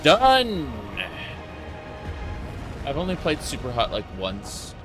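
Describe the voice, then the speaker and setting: A man's voice: fairly high pitch, huge pitch swings, slow pace. A man doing casual live gameplay commentary as a streamer, reacting off the cuff. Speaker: game streamer. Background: game music.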